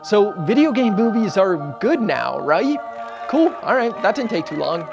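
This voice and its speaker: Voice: medium pitch, sing-song, unhurried, creaky. A host narrating a scripted video essay in a casual, conversational way. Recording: music under the voice. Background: background music.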